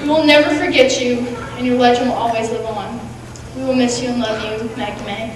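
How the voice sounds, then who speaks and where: A young woman with a high voice recites a prepared monologue. She is picked up from far away in a very echoey hall, with clear background noise. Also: steady tone, a little fast. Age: teenager or young adult. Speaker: student performer. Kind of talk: readers theatre monologue, recited.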